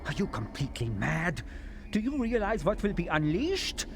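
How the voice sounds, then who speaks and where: An actor playing an anxious, bookish Nazi scientist in a game cutscene, with a German accent and a pedantic, pleading manner. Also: male voice, high-pitched, huge pitch swings, moderate pace, vocal fry, full character voice. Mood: alarmed, pleading. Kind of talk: dramatic game cutscene acting.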